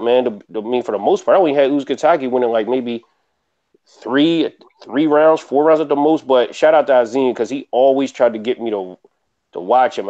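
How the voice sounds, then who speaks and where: A man gives his take as a casual boxing panelist. His pitch is average and his talk is colloquial, punctuated with "man". The recording is very dry and a little dull. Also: mellow, no room sound. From Philadelphia. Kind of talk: casual sports commentary. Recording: dry, slightly dull lo-fi audio.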